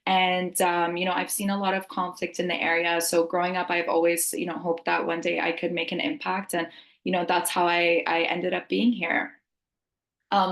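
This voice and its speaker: A woman's voice: lowish voice, fairly bright tone. A young woman from Lebanon co-hosting a webinar over a video call, welcoming people and explaining the plan with a few filler ums. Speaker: webinar co-host. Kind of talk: friendly webinar presentation. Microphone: video call laptop mic.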